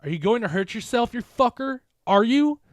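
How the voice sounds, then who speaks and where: A man voicing a desperate, tearful young woman who shouts and pleads, in a horror story reading. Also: very high voice, lively intonation, normal speed, dry recording, studio quality, voice pushed up. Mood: furious, tearful.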